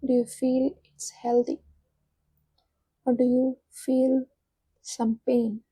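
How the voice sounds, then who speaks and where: A woman guides a meditation in a soft, fairly high voice. Her delivery is slow and evenly pitched, with little rise or fall. She gives gentle, soothing instructions and leaves long pauses between them. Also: level tone, husky, no room sound, low-quality recording.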